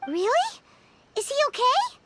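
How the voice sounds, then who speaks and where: A woman playing a tiny, excitable, loyal childlike companion in a video game, with a squeaky, expressive delivery. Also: measured pace, noisy background, full character voice. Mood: concerned. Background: game music.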